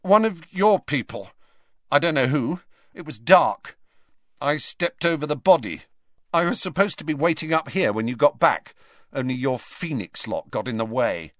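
A man voicing a desperate, sneering teenage boy wizard who talks harshly, his voice shaky and breaking upward into shouts. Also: mid-range voice, sing-song, moderate pace, dry recording, clean studio sound, pitched above the performer's own voice. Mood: frantic.

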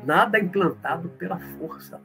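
A man from Olinda, explaining spiritual ideas in a live talk and answering viewer comments, often checking in with a quick "right?". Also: sits high, creaky, over music. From Brazil, born in Olinda, Pernambuco. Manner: explanatory, tag questions, reads comments aloud then answers.

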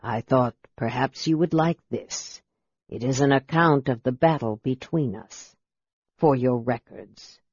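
Man playing a slow, proud, intense wizard. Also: medium pitch, husky, pitched below the performer's own voice. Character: proud, quietly intense young male wizard. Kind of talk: audiobook character voice. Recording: roomy echo, slightly dull sound.

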